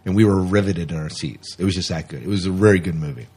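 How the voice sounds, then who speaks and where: Guy podcast host, lowish kinda husky voice, chatty and opinionated, casual news talk. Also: quick pace, warm tone. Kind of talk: casual science fiction news chat.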